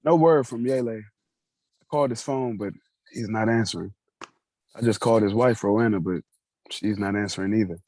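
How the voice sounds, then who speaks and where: A man's voice: fairly low pitch, normal speed, long pauses, a little mellow, no echo, close to an everyday voice, low-quality recording. A man playing a concerned, encouraging grown son in a staged play reading. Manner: earnest, persuasive.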